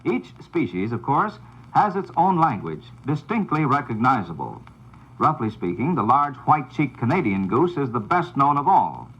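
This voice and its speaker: A man giving scripted, formal instructional narration on an old 78rpm record, in a measured, announcer-like delivery. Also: medium pitch, very animated, a bit bright, slightly rough, muffled recording.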